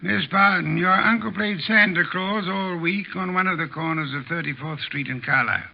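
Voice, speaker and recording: An actor playing a pompous, unhurried, shrewd detective in old American radio drama, with formal, precise diction. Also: male voice, high-pitched, vocal fry. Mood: lecturing. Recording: dull vintage radio recording.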